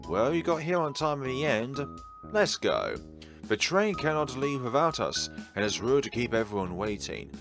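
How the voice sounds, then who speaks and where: Man reading as a chiding young male alchemist, pitch lifted. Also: big pitch swings, normal speed, creaky, over music. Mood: brisk. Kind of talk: visual novel character read-aloud.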